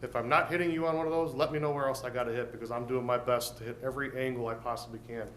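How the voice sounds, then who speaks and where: A man's voice: medium pitch, steady tone, very fast, a bit bright. A man serving as mayor, giving plain-spoken, earnest remarks at a city council meeting. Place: council chamber. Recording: roomy chamber with faint noise.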